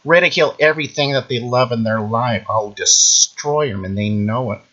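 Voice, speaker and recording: A man playing a smug, boastful internet ranter who claims to read minds, taunting the listener in a mocking sing-song and tagging lines with drawn-out "mm-hmm"s. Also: medium pitch, fairly bright tone, lo-fi sound, close to an everyday voice. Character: smug boastful male internet ranter, self-proclaimed mind-reader. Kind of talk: mocking satirical monologue.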